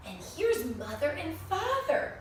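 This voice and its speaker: A woman reading a picture book to young children in an expressive, sing-song way, pausing to explain things and ask questions. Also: very high voice. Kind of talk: animated read-aloud story for young children. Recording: very echoey room, distant mic, faint noise.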